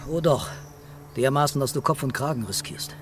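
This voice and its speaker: An actor playing a weary, quietly intense police commissioner in a radio crime drama. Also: male voice, mid-range voice, moderate pace, music in the background, close to an everyday voice. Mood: stern, quiet.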